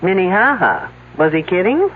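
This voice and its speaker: A woman's voice: fairly low pitch, wildly swooping pitch, normal speed, slightly rough, heavily acted. An actress playing a skeptical, persistent woman detective in a mystery drama, questioning and commenting in a dry, sarcastic manner. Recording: noisy, narrow phone-like old broadcast audio.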